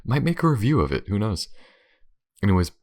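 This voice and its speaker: A man's voice: on the low side, big pitch swings, brisk, darkish tone. A man who hosts an ASMR channel, talking casually and informally to his listeners in an outro. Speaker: host, ASMR voice performer.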